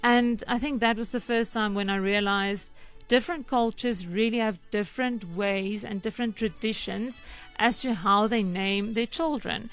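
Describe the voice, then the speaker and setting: A young woman with a South African accent presents a weekday morning radio feature, her first language Afrikaans. She talks in a chatty, easygoing way, pausing on ums as she thinks aloud. Faint music sits low under her mid-pitched voice. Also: fairly even intonation. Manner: warm, chatty, with frequent ums.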